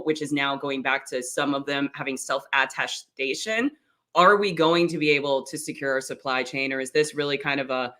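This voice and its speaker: A man's voice: mid-range voice, bright, forceful tone. A man hosting a live panel stream, asking long conversational questions over a video call. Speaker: stream host.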